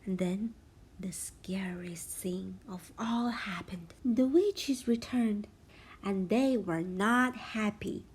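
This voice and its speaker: A woman reading a children's storybook aloud as a parent would, expressively, with a hushed sense of suspense. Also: medium pitch, slow, husky.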